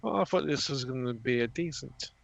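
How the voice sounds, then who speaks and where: Gravelly sarcastic drunk man. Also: mid-range voice, lively intonation, a little fast, no room sound, dull audio. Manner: sarcastic, skeptical. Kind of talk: casual chat.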